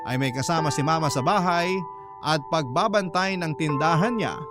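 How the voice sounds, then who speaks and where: Higher-voiced male storyteller over loud music. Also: normal speed. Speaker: radio drama narrator. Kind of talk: dramatic first-person letter reading. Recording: loud music bed under voice. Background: loud background music.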